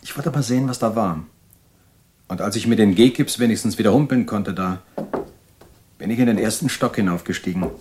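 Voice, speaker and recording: A troubled asylum inmate played by a man tells his own story in a radio drama. His voice sits low, and he speaks haltingly and confidingly, as if uneasy about what he remembers. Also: a little mellow, slight background noise, lightly acted. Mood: reflective, uneasy. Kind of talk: German radio drama monologue.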